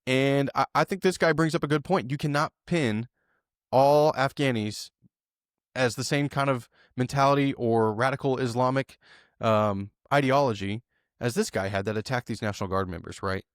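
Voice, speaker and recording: A man's voice: mid-range voice, normal speed, smooth, no room sound, studio quality. Chatty man with many fillers. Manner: conversational, many fillers and tag questions. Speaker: podcast co-host. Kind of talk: casual news discussion.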